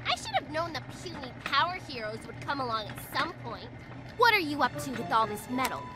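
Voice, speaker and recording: A high, swooping girl villain, smug and sarcastic. She relishes her taunts in theatrical cartoon-game style, taking her time over them with loud music underneath. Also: big pitch swings, a little fast. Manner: mocking, theatrical. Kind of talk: animated children's game voice acting.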